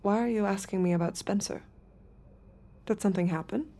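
An actress playing a shaken, secretive young woman in an intimate audio drama, with hushed, halting, emotional delivery. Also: medium pitch, close to an everyday voice. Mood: anxious, probing. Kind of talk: naturalistic audio drama acting.

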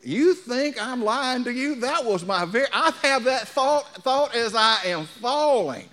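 A man's voice: very high voice, lively intonation, a little slow, bright, forceful tone. A man in his sixties preaching a sermon in a folksy way, telling anecdotes and putting questions to his listeners. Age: 60s. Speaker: pastor preaching. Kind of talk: sermon to a congregation.

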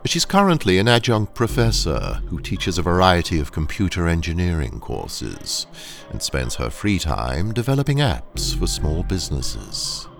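Ruthless corporate handler in an audio drama: low-pitched, creaky, smoothly controlling. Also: male voice, big pitch swings, faint music behind, exaggerated voice. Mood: coaxing, falsely cheerful. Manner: slow, deliberate, coaxing. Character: ruthless, sinister male corporate training engineer, smooth and controlling.

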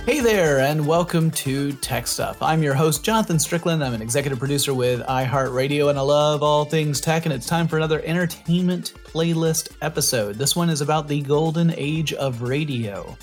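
A man's voice: on the high side, normal speed, few pauses, faint music behind. An American man hosting a podcast in a chatty, wordy, conversational way with a playfully grand turn of phrase. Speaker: podcast host.

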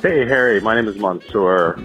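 A man leaving a calm, matter-of-fact voice message for the show. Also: on the low side, moderate pace, highs cut off, faint music behind. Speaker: listener voice message.

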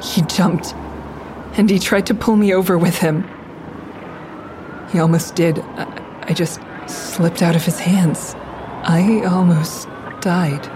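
Shaken, slow-speaking woman, low-voiced. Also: fairly even intonation. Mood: shocked, shaken. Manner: halting, repeating herself. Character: shaken, frightened woman, guarded and fragile. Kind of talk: naturalistic audio drama acting. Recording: clear outdoor traffic and vehicle noise. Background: vehicle ambience.

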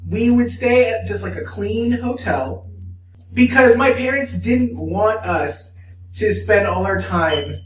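High-voiced man chatting in an echoey room. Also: takes it slowly, a little hiss or hum. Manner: blunt, swears freely, rambling. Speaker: podcast co-host. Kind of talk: casual chat. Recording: echoey room, mic at a distance.

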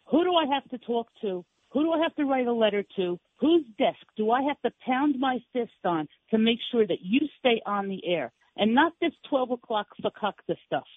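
A feisty woman with a husky voice phoning in to a radio show, chatting in a familiar, emphatic way. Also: fairly high pitch, expressive, moderate pace, few pauses. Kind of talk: casual, emphatic phone-in chat. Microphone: telephone. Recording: thin echoing phone line.

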